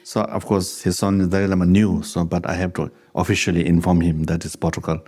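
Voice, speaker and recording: Low, mellow-voiced man teaching with an accent. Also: flat delivery. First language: Tibetan. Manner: unhurried, conversational, chuckles while telling anecdotes. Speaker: Buddhist teacher. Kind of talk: dharma talk with question and answer.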